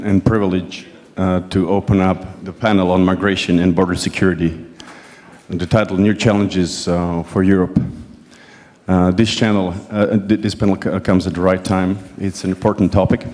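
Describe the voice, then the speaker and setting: A man with a Croatian accent chairs a conference panel, giving formal opening remarks in a halting, hesitant way and often repeating words. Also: sits low, flat delivery, a little mellow. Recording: echoey hall with long reverb.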